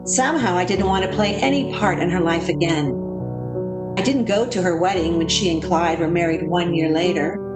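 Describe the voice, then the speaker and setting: An actress playing an anguished woman haunted by a friend's dark deeds, in dramatic, emphatic 1940s radio style. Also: fairly low pitch, moderate pace, close to an everyday voice. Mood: haunted, solemn. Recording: roomy echo, music behind. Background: music.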